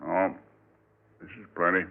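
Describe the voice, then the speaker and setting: On a thin vintage radio recording, an aged rural American drifter. He speaks very slowly and low, in terse, guarded, folksy phrases, as a mysterious figure in an old radio drama. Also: little pitch movement, gravelly, narrow, tinny audio. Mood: grimly secretive. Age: older. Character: aged, mysterious, taciturn male drifter hitchhiker.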